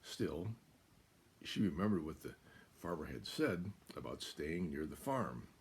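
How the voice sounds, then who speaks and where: An older American man reading a children's picture book aloud, deliberate and expressive, with pauses. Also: very deep voice, slight background noise. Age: older, about 70s. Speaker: guest storyteller. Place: small room at home, indoors.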